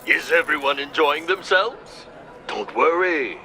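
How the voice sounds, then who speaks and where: An actor playing a cocky crime boss in a casino, in a video game. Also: male voice, a little high, expressive, unhurried, bright, forceful tone, slight background noise, full character voice. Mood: smug. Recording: busy crowd behind.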